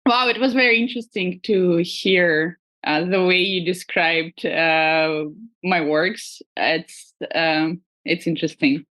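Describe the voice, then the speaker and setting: A woman with a Russian accent, speaking as an artist guest in a casual interview, hesitant with frequent pauses and fillers. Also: on the low side, a bit bright. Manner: hesitant, many ums and uhs, laughs lightly.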